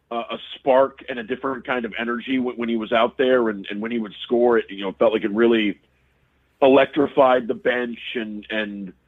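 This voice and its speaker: Man on a tinny phone line. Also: mid-range voice. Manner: rambling, many restarts and fillers. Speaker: sports radio analyst. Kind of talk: casual sports talk.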